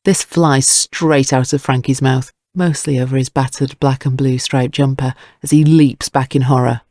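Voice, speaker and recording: A narrator delivers scripted dramatic prose in a creaky voice with plenty of fry. The pitch is moderate and the sound is dry and close, free of room echo. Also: steady tone, moderate pace, a little mellow.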